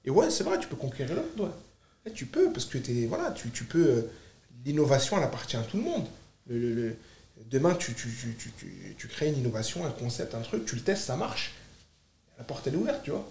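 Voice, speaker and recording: A man's voice: medium pitch, very animated, brisk, creaky, a little mellow, echoey room. A man from France speaking casually as a podcast guest, emphatic, repeating and piling up short phrases. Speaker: podcast guest, startup founder. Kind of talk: casual, animated conversation.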